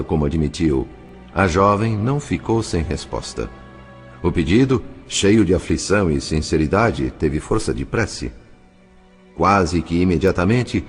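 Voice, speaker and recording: A deep Brazilian voice narrates as a compassionate, reflective spirit. The telling is solemn and measured, in audio drama style, with music underneath. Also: male voice.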